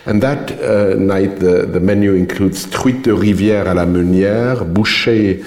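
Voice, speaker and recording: Deep-voiced man hosting in an echoey hall. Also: a little mellow. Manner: brisk, presents images and questions. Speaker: interviewer and host. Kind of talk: onstage interview.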